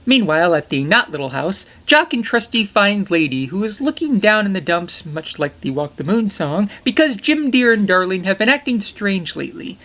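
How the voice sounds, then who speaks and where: A young man reviews an old animated film with jokey, enthusiastic asides, his pitch bouncing up and down as he talks. He sits in a small poster-covered bedroom, talking toward a webcam. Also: moderate pace, hardly any pauses. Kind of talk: comedic film review narration.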